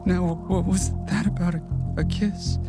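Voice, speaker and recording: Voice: medium pitch, level tone, measured pace, natural voice. An actor in a horror audio drama playing a frail, besotted person longing for a lost love. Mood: yearning, besotted. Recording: loud music under the voice.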